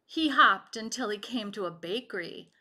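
Bright-voiced woman reading a picture book. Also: medium pitch. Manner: expressive, deliberate pauses for the listener. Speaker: read-aloud storyteller. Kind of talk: children's picture book read-aloud, explanatory. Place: home kitchen, indoors.

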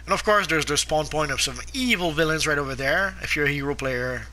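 Bright-voiced man with a sore throat. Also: fairly high pitch, animated. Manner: halting, frequent "uh" fillers and restarts. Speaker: gameplay guide narrator. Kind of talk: casual game commentary.

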